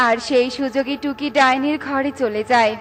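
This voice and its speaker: A woman's voice: high-pitched, fairly even intonation, moderate pace, faint music behind. A woman narrating a children's story in a scripted storytelling style.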